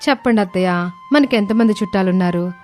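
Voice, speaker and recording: A woman voicing a miserly young daughter-in-law in a story, a brisk and cheeky schemer with a pert, emphatic delivery. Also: mid-range voice, darkish tone, soft background music, close to an everyday voice. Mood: eager, scheming. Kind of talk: animated moral story dialogue.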